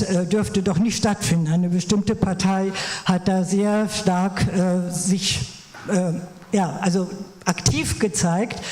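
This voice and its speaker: A woman's voice: medium pitch, warm tone. A woman from Berlin giving an earnest, explanatory contribution from the audience at a seminar. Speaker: audience member. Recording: echoey hall.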